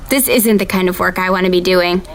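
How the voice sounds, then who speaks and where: A woman's voice: lowish voice, a little fast. A woman acting an anxious, self-critical surveillance analyst in an audio drama. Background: music.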